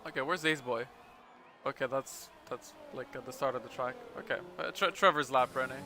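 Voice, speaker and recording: A man's voice: medium pitch, moderate pace, background noise. A man commentating a sim-racing livestream in casual chat, laughing often and trailing off with fillers. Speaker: race commentator.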